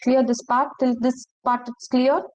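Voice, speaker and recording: A woman's voice: high-pitched, little pitch movement, a little mellow, poor audio. Woman teaching French phrase by phrase. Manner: explains slowly, repeats phrases, checks understanding. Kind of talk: online language class.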